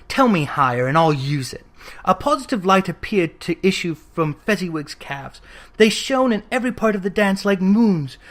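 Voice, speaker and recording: A narrator reading Victorian fiction aloud in an expressive, theatrical storytelling manner. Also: mid-range voice. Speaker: audiobook reader.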